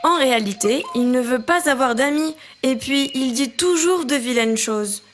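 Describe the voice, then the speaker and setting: A woman voicing a frank, expressive young train friend in a French children's cartoon. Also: sits high, normal speed, soft background music. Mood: correcting.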